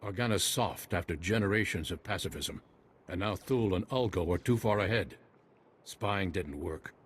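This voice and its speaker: Low-voiced, courteous noble general. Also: male voice, husky. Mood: earnest, grateful. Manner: formal, measured. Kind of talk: video game voice acting. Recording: faint battle noise, gunfire behind.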